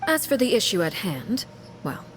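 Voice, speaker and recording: An actress voicing a composed, formal woman who leads an orphanage and a shadowy organization in a video game, speaking in a measured, quietly menacing way. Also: medium pitch, sing-song, a little hiss or hum, natural voice. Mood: calm. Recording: game audio with faint music.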